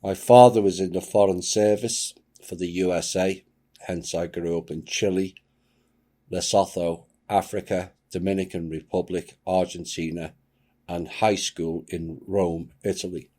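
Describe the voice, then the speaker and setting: A man hosting his channel, reading a submitted account aloud with a grave, measured delivery. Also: sits low, fairly even intonation, dark tone. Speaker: channel host.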